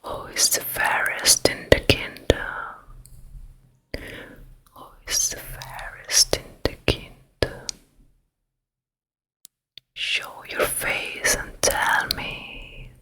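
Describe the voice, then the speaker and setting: A wicked storybook queen, played by a woman, speaks in a slow, breathy whisper. She is vain and jealous, measuring out each phrase with quiet menace in an ASMR fairy-tale roleplay. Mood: commanding, insistent. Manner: hushed, slow, deliberate, menacing.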